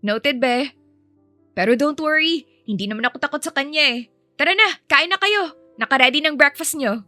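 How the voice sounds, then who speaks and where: A woman's voice: high-pitched, animated, moderate pace, sharp tone, no room sound, soft background music, voice pushed up. A woman voicing a perky, affectionate, cheeky young nanny with a chirpy, doting manner in a dramatised story.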